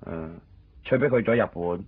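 A man's voice: on the low side, animated, moderate pace, close to an everyday voice. A man with a Hong Kong Cantonese accent playing a nervous, apologetic, evasive friend in a radio drama. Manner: hesitant, stammering, pleading. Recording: dull, lo-fi 1990s radio recording with music.

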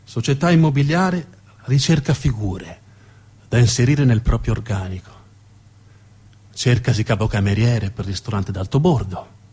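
A man's voice: mid-range voice, moderate pace, long pauses, a little mellow, slightly rough. A man performing a theatrical narrative monologue, dramatic and emphatic, building on repeated phrases. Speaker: actor and monologue storyteller.

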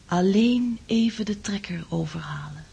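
A woman's voice: mid-range voice, expressive, measured pace, vocal fry, very noisy. An actress playing a ghostly, insistent woman in a radio drama, soothing and coaxing, often whispering. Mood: eerily tender.

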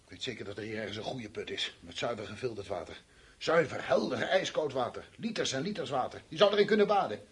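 An actor playing an anxious, irritable man fleeing war in a radio play, with a husky voice. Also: mid-range voice, big pitch swings, quick pace. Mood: obsessive longing. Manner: agitated, commanding, brooding when narrating. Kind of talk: Dutch radio play acting.

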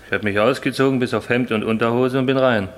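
An actor playing a reflective, good-natured workmate who rambles, in a German radio crime drama. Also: male voice, mid-range voice, steady tone, fast, close to an everyday voice.

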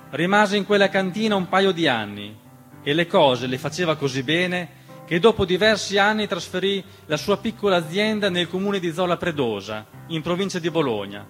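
Guy doing a stage monologue, voice kinda high, takes his time, talks right at you, piano going behind him. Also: fairly bright tone. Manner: measured, addresses the listener directly. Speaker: theatre monologue performer. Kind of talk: theatrical narrative monologue with long pauses. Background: piano accompaniment.

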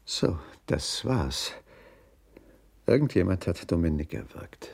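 An actor playing a bitter, obsessive husband turned killer in an intense German radio crime drama. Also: male voice, low-pitched, big pitch swings, a little slow, gravelly, a little mellow, breathy edge, exaggerated voice. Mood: numb, cold.

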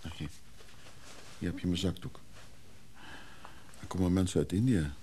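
An actor playing a weary, solicitous antiques dealer in a Dutch radio drama, speaking in short, hesitant sentences. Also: male voice, deep voice, moderate pace, dark tone, slight background noise, lightly acted. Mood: hushed, consoling. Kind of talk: naturalistic Dutch radio play acting.

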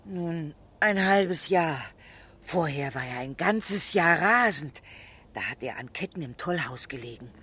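An actress playing a sorrowful older mother in a radio drama, with a husky voice and weary, lamenting manner. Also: deep voice, lively intonation, a little slow, bright, forceful tone, full character voice. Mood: resigned, sorrowful. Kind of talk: German literary radio drama acting.

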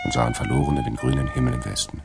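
A man narrating a radio crime drama in a measured, dry way, with a gravelly, husky voice. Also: very deep voice, animated, loud music behind the voice, full character voice. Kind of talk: scripted crime story narration.

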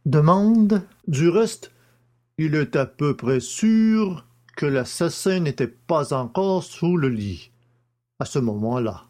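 Man playing a slow, lilting, formal examining magistrate. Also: a little high, lively intonation, dark tone. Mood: methodical, probing. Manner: formal, deliberate, announces each question. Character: courteous, methodical male examining magistrate questioning witnesses. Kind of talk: audiobook character acting.

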